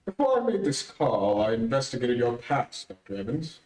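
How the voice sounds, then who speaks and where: An actor playing a grave, mysterious vigilante in 1930s radio drama style, speaking in a measured, commanding, formal way. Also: male voice, medium pitch, sing-song, a little mellow, close to an everyday voice. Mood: probing. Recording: roomy, distant-miked stage recording.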